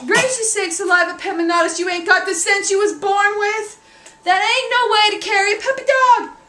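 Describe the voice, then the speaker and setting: A woman's voice: high voice, sing-song, normal speed, a bit bright. A woman voicing an exasperated mother scolding her foolish son in folksy dialect, in an echoey room. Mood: shrill scolding. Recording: echoey room, faint background noise.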